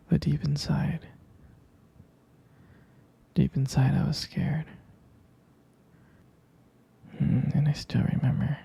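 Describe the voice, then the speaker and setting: A man playing a jealous, protective, devoted boyfriend in an intimate ASMR roleplay, speaking in a breathy, halting, tender whisper. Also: fairly high pitch, dark tone, full character voice. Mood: fond, nostalgic. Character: jealous, protective, devoted young boyfriend soothing his partner.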